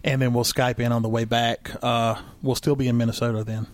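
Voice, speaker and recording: A man's voice: mid-range voice, little pitch movement, fairly quick. A man co-hosting a casual podcast chat with friends, rambling and restarting his sentences as he plans aloud. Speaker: podcast co-host.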